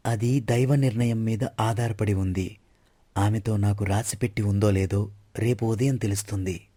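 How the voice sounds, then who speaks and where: A lovestruck young prince acted by a man speaks with earnest devotion. The voice is on the low side and mellow, recorded very dry for an audio tale. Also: steady tone, moderate pace, slightly rough, no room sound.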